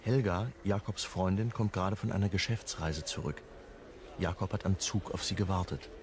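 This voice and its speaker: An actor playing the reflective narrator of a crime radio play, reading scripted narration. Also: male voice, sits low, normal speed, slight background noise, close to an everyday voice.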